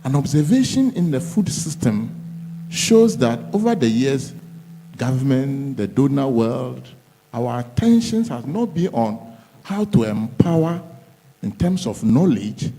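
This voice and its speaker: A man in the audience asking a question at a conference, measured and repeating his key phrases. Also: medium pitch, very animated, vocal fry, mellow. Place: conference hall. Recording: reverberant hall, faint hum.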